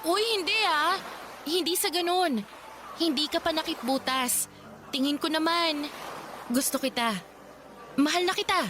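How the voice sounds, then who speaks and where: A woman's voice: high voice, measured pace, long pauses, background noise. A woman acting a lovesick, jealous young woman in a Filipino radio drama, with a Filipino accent and an emotional, insistent delivery. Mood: pleading. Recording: music bed behind dialogue.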